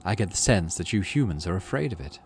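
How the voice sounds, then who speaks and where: A man voicing a calm, teasing, cryptic alien forest guide in a visual novel game, with a wry, deliberate manner. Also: deep voice, a little hiss or hum.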